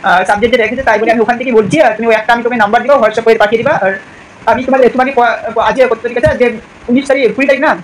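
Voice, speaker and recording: High-pitched, rapid young man, hesitant. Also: fairly even intonation. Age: young adult. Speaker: prank caller. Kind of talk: improvised prank phone call in casual chat. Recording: distant mic, faint background noise.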